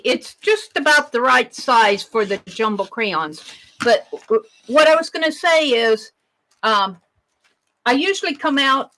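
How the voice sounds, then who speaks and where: A woman hosting an art livestream in casual chat, with a loud, projected voice, rambling and thinking aloud as she demonstrates. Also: a little high, low-quality recording.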